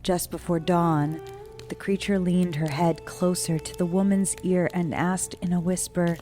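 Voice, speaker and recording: A woman with a low voice narrates a dark folk tale. Her reading is measured, solemn and dramatic, like a scripted story. Music runs clearly behind her. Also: fairly even intonation. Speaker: storyteller, guest writer reading own story.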